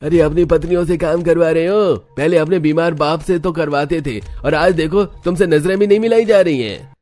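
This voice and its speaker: A man's voice: a little high, moderate pace, darkish tone, soft background music, close to an everyday voice. A man voicing a nosy, taunting village man in a cartoon story, mocking and scolding. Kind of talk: Hindi cartoon story acting.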